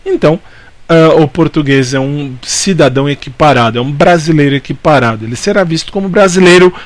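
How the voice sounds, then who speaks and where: A man's voice: on the high side, brisk, dry recording. A Brazilian man giving an informal exam-prep law lecture, repeating key points and answering his own questions in a didactic way. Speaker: law teacher.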